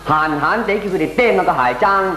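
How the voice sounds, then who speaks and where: Higher-voiced, reasonable Cantonese friend. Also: male voice, expressive, brisk, bright, forceful tone. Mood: patient, explaining. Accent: Hong Kong Cantonese. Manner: conversational, patiently explanatory. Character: reasonable, explanatory male friend in a comedy. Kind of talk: Cantonese comedic radio drama acting. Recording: roomy, echoing radio drama recording.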